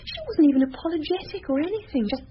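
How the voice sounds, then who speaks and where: Haughty, swooping young madame. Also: female voice, high-pitched, lively intonation, fast. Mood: disdainful. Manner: haughty, theatrical, disdainful. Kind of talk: radio drama acting. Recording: dull, slightly noisy radio drama recording.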